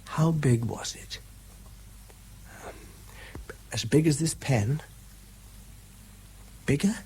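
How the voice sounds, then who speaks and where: Wartime bomb investigator, British, radio drama: husky, tired, courteous, clipped and slightly hesitant. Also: male voice, fairly high pitch, drawn-out words, mellow, heavily acted. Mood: gentle questioning. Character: tired, earnest young male military bomb investigator.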